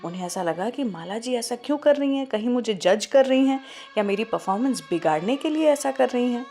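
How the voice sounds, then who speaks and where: A woman's voice: on the high side, animated, brisk, dark tone, faint music behind. A woman with an Indian accent hosting a radio show, telling stories conversationally and addressing listeners with questions. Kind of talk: scripted radio presenting with film trivia and song introductions.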